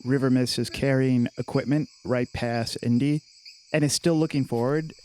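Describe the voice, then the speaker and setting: A man's voice: medium pitch, fairly even intonation, moderate pace, darkish tone, a little hiss or hum. A man chatting casually as a player in a tabletop game, describing things in an informal, conversational way. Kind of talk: casual table talk and storytelling.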